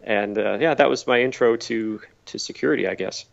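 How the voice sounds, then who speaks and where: A middle-aged man from Massachusetts, a podcast guest telling stories at a relaxed pace and often repeating words as he thinks. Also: mid-range voice, brisk, vocal fry, dull audio. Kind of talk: conversational interview answers.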